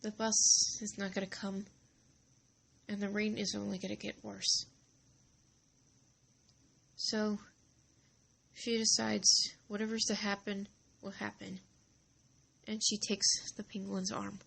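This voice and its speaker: Slow, creaky-voiced woman telling a story. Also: mid-range voice, flat delivery, fairly bright tone. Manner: halting, deliberate, with dramatic pauses. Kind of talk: informal story narration.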